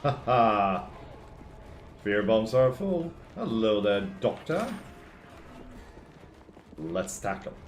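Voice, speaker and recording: Low, gravelly-voiced male streamer. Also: drawn-out words, long pauses, soft background music. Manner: chatty, excitable, reading lore aloud. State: hoarse from shouting. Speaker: gaming streamer. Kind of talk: casual gameplay commentary. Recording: echoey room with faint noise.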